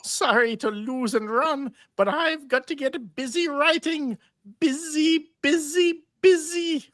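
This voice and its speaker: A man's voice: very high-pitched, slow pace, a bit bright, no echo, studio quality, pitched above the performer's own voice, exaggerated voice. A man voicing a whiny, self-pitying evil magician from a cartoon, pleading and fussy. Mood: eager, flustered. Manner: pleading, fussy, repeats himself.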